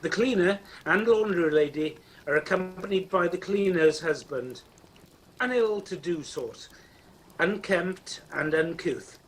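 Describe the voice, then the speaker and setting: A man's voice: high voice, measured pace, a bit bright, low-quality recording. A man playing a wry storytelling narrator in an amateur radio play. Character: wry storybook narrator of a Christmas ghost tale. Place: at home, recorded over video call. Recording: faint noise, home video-call recording.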